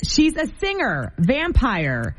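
A woman's voice: a little high, wildly swooping pitch, soft background music. A woman co-hosting a morning radio show, chatting, teasing and reading traffic reports. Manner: chatty, quick to tease.

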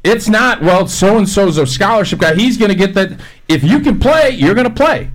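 A man's voice: sits high, lively intonation, moderate pace, rough recording. An American man, a former football player, chatting casually on a sports panel in plain-spoken, emphatic short phrases.

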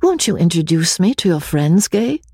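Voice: mid-range voice, moderate pace, mellow, no room sound, voice pushed up, close to an everyday voice. A performer voicing a poised, genteel young wife in an audiobook, speaking sweetly with a smile. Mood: poised sweetness. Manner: clear, genteel, smiling.

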